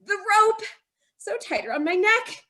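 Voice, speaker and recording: A very high, lively voice swings between whispers and cries as a woman plays a desperate young wife in an old-time radio drama. She pleads slowly and sobs, fighting for breath. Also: full character voice. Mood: pleading. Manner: panicked, pleading, sobbing. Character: terrified young wife, noose around her neck. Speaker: radio actor.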